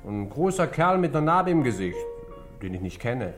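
An actor playing a cagey, sardonic bar owner with a shady past in a German radio crime drama, answering offhandedly. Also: male voice, medium pitch, sing-song, normal speed, over music, close to an everyday voice. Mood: evasive.

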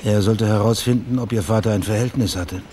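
Low-voiced, matter-of-fact male investigator, acted by a man. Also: steady tone, a little fast, mellow, a little hiss or hum, close to an everyday voice. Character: probing, plain-spoken male private investigator named Max, quietly insistent. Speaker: investigator in a crime story. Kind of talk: German radio crime drama acting.

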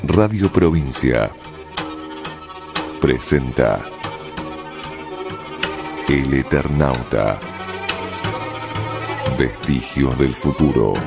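A male announcer reads scripted radio drama titles. His voice is extremely low and his delivery slow. Loud music fills the background. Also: lively intonation, vocal fry.

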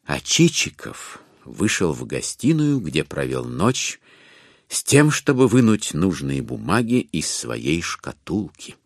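A man narrating classic literature in an audio drama, with a wry, unhurried storytelling manner. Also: deep voice, huge pitch swings, vocal fry, no room sound. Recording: dry studio, faint music behind.

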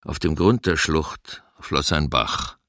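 An actor playing a grave camp survivor in a documentary radio drama, recalling the war in a measured, sober way. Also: male voice, a bass voice, gravelly, a bit bright, no room sound, clean studio sound, full character voice.

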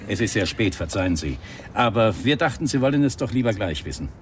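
An actor playing a composed, dogged police inspector in a radio crime drama, speaking politely in measured phrases. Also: male voice, fairly low pitch, sing-song. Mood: polite, probing. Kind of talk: 1990 German radio crime drama acting. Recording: radio play with clear background noise.

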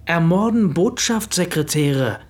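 A brash, crude businessman in a radio drama. His voice is husky and swings widely in pitch as he blusters and jeers with coarse self-righteousness. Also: animated, unhurried. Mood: indignant. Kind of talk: radio play acting.

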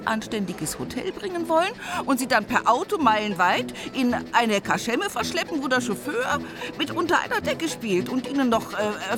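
Bossy city lady, animated voice. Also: a little high. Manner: scolding, indignant, clipped. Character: bossy, suspicious, easily outraged bourgeois city lady. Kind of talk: radio play acting. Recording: music behind. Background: music.

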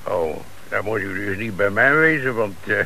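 A man's voice: fairly low pitch, lively intonation, moderate pace, vocal fry, sharp tone, heavily acted. A man acting in a radio play as a sly, mocking car dealer and small-time crook, chuckling, falsely polite and evasive. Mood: slyly evasive. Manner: feigned politeness, jovial evasiveness. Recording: hissy old radio play recording.

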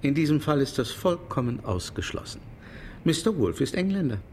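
A man's voice: on the high side, sing-song, moderate pace, a little mellow, a little hiss or hum. An actor playing a stout, dismissive London publisher in his fifties, formal and emphatic, in a German radio drama. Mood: firm denial.

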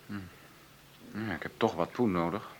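An actor in a Dutch radio play playing a brash, broke lawyer who talks in a glib, slangy, persuasive way. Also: male voice, sits low, moderate pace, close to an everyday voice. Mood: slick, persuasive. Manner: glib, slangy, persuasive, hesitating over delicate words. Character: brash, scheming lawyer brother, broke and pushy. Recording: hissy old radio play recording.

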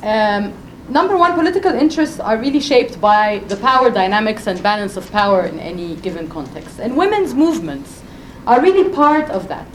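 Woman, panel speaker, loud voice, higher pitch, emphatic, persuasive, faint ambient noise. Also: big pitch swings, brisk. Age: adult with a doctorate. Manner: emphatic, persuasive, addresses the audience directly. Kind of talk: conference panel talk.

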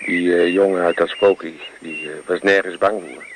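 A man's voice: sits low, fairly even intonation, normal speed, slight background noise, lightly acted. An actor playing a nervous, reflective man in a radio play, sharing memories of a childhood story. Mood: uneasy, reflective. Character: nervous man in a camper, obsessed with a horror tape.

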